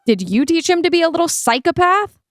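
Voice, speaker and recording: A woman's voice: extremely high pitch, very animated, a little fast, a bit bright, exaggerated voice. A woman playing a stubborn, defiant heroine in an audio play. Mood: incredulous. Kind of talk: audio play table read.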